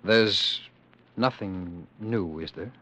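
An actor playing a proud, stubborn, troubled exiled leader in mid-century radio drama style, with dramatic, emphatic delivery. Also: male voice, low voice, slow pace, fairly bright tone, full character voice. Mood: uneasy, hesitant. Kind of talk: 1940s-50s radio drama acting and narration. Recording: muffled old radio drama recording.

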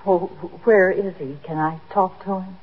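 Through a dull, hissy old radio recording comes a worried middle-aged housewife played by a woman. She speaks earnestly and hesitantly at a medium pitch, remorseful and pleading, in the manner of 1950s radio drama. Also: fairly even intonation, normal speed, close to an everyday voice. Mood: anxious.

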